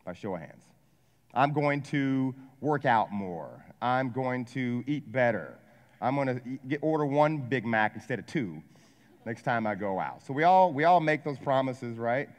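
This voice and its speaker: A man in his forties from Ohio, giving an energetic motivational seminar talk and asking a live audience questions. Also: medium pitch, unhurried. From Ohio, USA. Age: 40s. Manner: energetic, asks the crowd questions, jokes. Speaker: wealth advisor and coach giving a seminar talk. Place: large hall with a live audience. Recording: long hall reverb.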